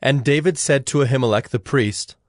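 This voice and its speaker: A man's voice: medium pitch, brisk, no room sound, clean studio sound. A man narrating a dramatized Bible story from a script.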